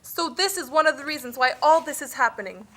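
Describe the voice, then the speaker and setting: High, bright, burdened poor-country spokesperson, woman acting. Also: expressive, fast talker. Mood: troubled. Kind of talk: scripted educational puppet show.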